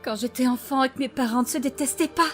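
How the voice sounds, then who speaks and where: A woman's voice: high-pitched, quick pace, fairly bright tone, soft background music. A woman voicing a sullen, wounded teenage demon girl with a sulky manner, in a cartoon fan dub. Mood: resentful. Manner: sulky, hurt. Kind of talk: animated series fan dub.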